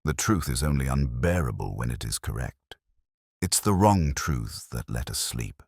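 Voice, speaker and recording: Deep-voiced guy reading as a wistful academic lady in a mystery story, quiet, careful, a bit cynical, dry sound. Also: very animated, normal speed, breathy edge, no echo, exaggerated voice. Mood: grave. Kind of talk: quoted dialogue within detective story narration.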